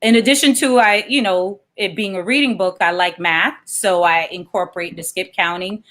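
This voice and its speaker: A woman's voice: mid-range voice, normal speed, fairly bright tone. A woman, a children's author, reading aloud and explaining things as an interview guest.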